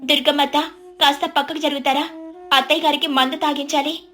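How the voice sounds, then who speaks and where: A woman's voice: high voice, flat delivery, fairly quick, fairly bright tone, soft background music, voice pushed up. A woman voicing a meek, dutiful young daughter-in-law in a family story, deferential and pleading. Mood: anxious, polite.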